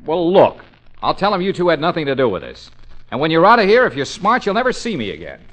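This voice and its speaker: Exasperated, quick-witted private eye for old radio comedy; lively pitch, wry and pleading. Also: male voice, rough recording. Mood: earnest. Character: smooth-talking, exasperated male detective in trouble. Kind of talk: old-time radio detective comedy acting.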